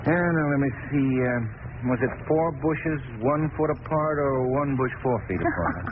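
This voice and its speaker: A genial, inquisitive gentleman sleuth of vintage radio drama, played by a man. He is politely brisk, with a very animated voice. The recording is thin, phone-like and clearly noisy. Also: medium pitch, close to an everyday voice. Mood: mildly flustered. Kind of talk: 1940s radio drama acting.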